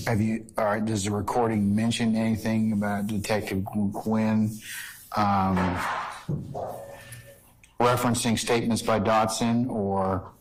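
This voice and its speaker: Man, judge, low voice, informal probing questions, halting phrasing, courtroom, slight background noise. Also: flat delivery, rough recording. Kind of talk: questioning lawyers from the bench.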